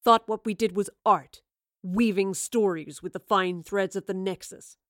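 A woman voicing a coldly scornful, arrogant male villain in a low, hushed, menacing voice for an audiobook. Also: fairly even intonation, no echo, clean studio sound, natural voice. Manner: slow, menacing, contemptuous.

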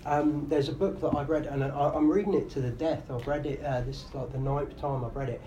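A man's voice: on the high side, fairly even intonation, brisk, mellow, rough recording. A man who is a comedian and radio host, answering interview questions in casual, thoughtful talk with many fillers. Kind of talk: podcast interview. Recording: live room with faint noise.

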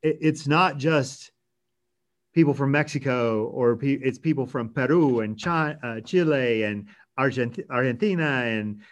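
A man hosting a conversational interview, speaking in measured sentences with some restarts and filler "um"s. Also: medium pitch, muffled recording. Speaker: host from a hospice organization.

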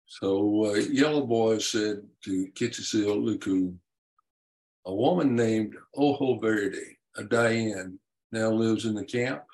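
A man, an author and podcast guest, telling and explaining a historical story in a measured, deliberate way. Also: fairly low pitch, a little mellow, rough recording. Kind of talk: reading his own historical story and explaining it.

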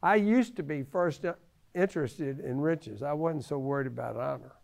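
A man in his seventies with a creaky voice, giving conversational religious teaching in a halting way, repeating phrases for emphasis. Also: fairly high pitch, darkish tone. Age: 70s.

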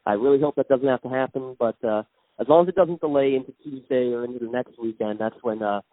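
A man's voice: medium pitch, fast talker, dry recording. A man calling in as a guest, chatting in a hesitant way with many fillers. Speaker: guest, racing fan. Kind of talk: casual phone interview. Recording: tinny phone line.

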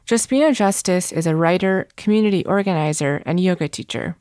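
Lower-voiced young woman, dry studio sound. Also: brisk, a little mellow, no echo. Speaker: podcast co-host. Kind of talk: casual chat.

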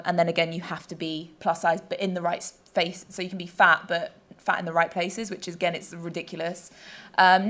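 A woman's voice: on the low side, a bit bright. A woman giving a casual, informal answer as a panelist in a discussion. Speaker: panelist, plus size model. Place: live panel event.

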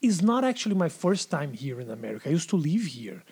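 A man's voice: on the high side, very animated, fast talker, creaky, darkish tone, slightly rough. A man in his forties from Brazil, speaking English with a Brazilian accent as a podcast guest, earnest and repetitive in casual chat. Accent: Brazilian Portuguese. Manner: earnest, repeats himself, swears casually.

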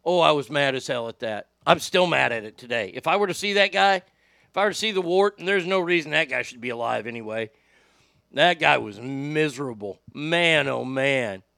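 A middle-aged radio host with a high, bright voice and a gravelly edge tells profane stories in a casual, animated way. The sound is close and dry, with no room around it. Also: male voice, moderate pace, no echo. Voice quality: high voice without much bass. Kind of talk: casual storytelling podcast.

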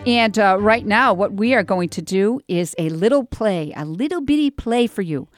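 A woman's voice: medium pitch, animated, normal speed, faint music behind. A woman hosting a chatty local radio show, talking informally in an effusive, exclaiming, encouraging way. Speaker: radio talk show host. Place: radio studio.

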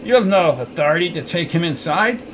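A man's voice: on the high side, lively intonation, a little fast, a bit bright, pitched above the performer's own voice. A man voicing a stocky, hostile guard in an audiobook, challenging and unyielding, with hum behind him.

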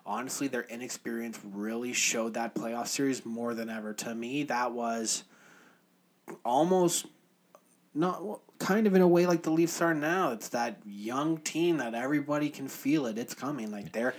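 A man with a mid-pitched voice talks sports as a podcast co-host. His delivery is casual and opinionated, peppered with slang. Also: moderate pace. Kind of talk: casual sports commentary chat.

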